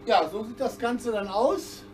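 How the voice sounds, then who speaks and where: A man's voice: very high-pitched, measured pace, a little mellow, recorded from a distance, a little hiss or hum. A man in his fifties explains hands-on work step by step in a casual demonstration, recorded indoors in a small workshop on a camera a step or two away. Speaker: sailing channel host.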